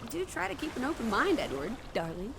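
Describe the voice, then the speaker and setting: A woman's voice: on the high side, sing-song, brisk, gravelly, background noise. A woman voicing a sociable, playful young travel companion in a video game, with a breezy, wry, mock-dramatic way of talking. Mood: wry, teasing.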